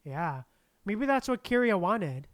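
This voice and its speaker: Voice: mid-range voice, sing-song, a little slow, no echo. A game streamer talking in slangy, casual reactions, muttering and reading on-screen text aloud.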